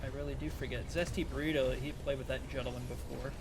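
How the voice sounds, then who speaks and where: A man's voice: mid-range voice, little pitch movement, quick pace, heavy background noise. A man streaming a game and chatting casually with viewers, his voice strained and wearing thin. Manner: rambling, chatty, answering chat between plays. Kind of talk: casual live-stream gameplay commentary.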